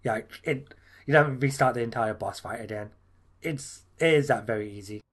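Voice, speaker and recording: A man's voice: medium pitch, normal speed. A man co-hosting a gaming podcast, talking casually, laughing often and trailing off mid-sentence. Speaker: podcast co-host.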